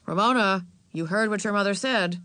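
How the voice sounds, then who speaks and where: A woman's voice: fairly high pitch, big pitch swings, brisk, a bit bright, no room sound, pitched above the performer's own voice. A woman voicing a reasonable, mildly stern father in a storybook. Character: stern but easily amused, reasonable father.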